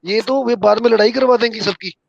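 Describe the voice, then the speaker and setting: A man's voice: extremely high pitch, fairly quick, gravelly, dry recording, highs cut off. A man from Punjab, India, with a Punjabi accent, calling in to chat casually about politics in a deferential way. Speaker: caller.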